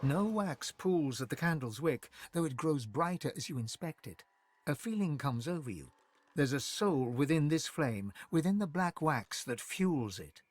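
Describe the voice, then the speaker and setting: An actor playing a solemn, ominous narrator in a fantasy video game, telling the story dramatically. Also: male voice, on the high side, expressive, unhurried, dry recording.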